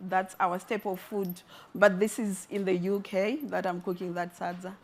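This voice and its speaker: A middle-aged woman from Zimbabwe, an artist giving a talk with a spoken-word poem, delivered in a slow, rhythmic, measured way. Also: medium pitch, little pitch movement. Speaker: artist and speaker.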